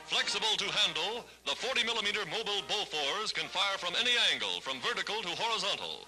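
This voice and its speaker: A vintage 1940s newsreel soundtrack with prominent music under the voice. The narrator is an American man with a high-pitched voice. He reads his script in an emphatic, dramatic, declamatory style. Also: sing-song, fast talker, low-quality recording.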